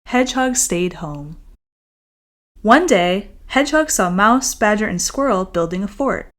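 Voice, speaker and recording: A woman with a medium-pitched voice reads a children's picture book as its author. The delivery is expressive and careful, shaped for young listeners, and carries the same storyteller manner when speaking to them directly. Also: a little slow. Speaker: children's author reading their own book. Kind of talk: expressive storybook read-aloud and friendly chat to young listeners.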